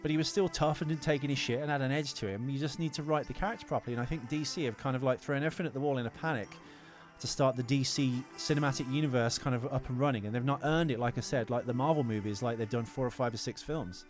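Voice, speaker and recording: A man's voice: medium pitch, fast talker, few pauses, faint music behind. A man co-hosting a casual film podcast, talking in an opinionated, rambling way with plenty of swearing. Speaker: podcast co-host.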